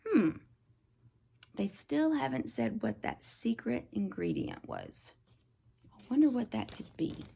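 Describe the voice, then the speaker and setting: A woman teacher reading a picture book aloud to young children, expressively, pausing to explain things simply. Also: fairly high pitch, huge pitch swings, gravelly, mellow, breathy edge.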